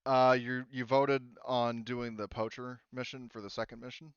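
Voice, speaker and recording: A man's voice: mid-range voice, little pitch movement, normal speed, clear, resonant tone, no room sound, lo-fi sound. A man running an online tabletop roleplaying game as game master, talking casually in a hesitant, rambling way with trailing thoughts.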